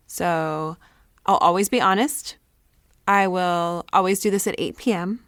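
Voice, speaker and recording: A woman playing an excitable, self-important teenage girl who rambles dramatically through a confessional audio diary. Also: lowish voice, measured pace, clean tone, no echo, clean studio sound. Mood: chatty, self-important. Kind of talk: confessional audio diary monologue, naturalistic acting.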